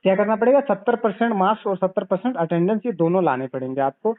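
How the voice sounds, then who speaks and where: A man giving a didactic sales pitch as a consultant over the phone, repeating points and checking back with questions. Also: fast, no echo. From Bihar, India. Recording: narrow, tinny phone call recording.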